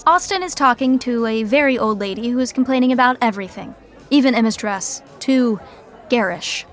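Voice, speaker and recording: A woman narrating a scripted sitcom podcast with wry, deadpan asides. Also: on the high side, a little fast, over music.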